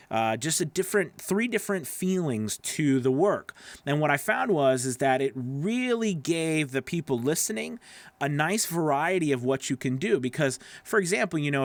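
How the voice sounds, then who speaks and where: A man's voice: mid-range voice, dry recording. A middle-aged man giving casual, conversational advice as a video host, rambling a little and checking in with the listener. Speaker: voice-over coach and video host. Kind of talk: casual instructional talk to camera. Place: small home studio with acoustic foam, indoors. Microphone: broadcast microphone on a boom arm, close.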